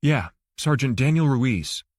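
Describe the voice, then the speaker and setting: A man's voice: medium pitch, expressive, a little slow, darkish tone, dry recording, studio quality. A man voicing a wry, plain-spoken male ex-lawyer in an audiobook, blunt and direct. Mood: easy.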